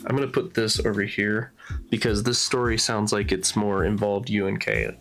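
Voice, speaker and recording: A woman with a deep, bassy voice hosting a casual livestream, talking quickly and jumping in with questions. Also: steady tone, faint music behind. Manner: quick, loose, interjecting. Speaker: streamer host.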